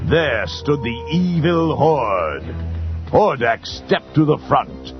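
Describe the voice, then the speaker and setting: A man's voice: mid-range voice, very animated, slow pace. A man narrating a children's adventure storybook in a dramatic, scripted style. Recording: dull lo-fi tape with music behind.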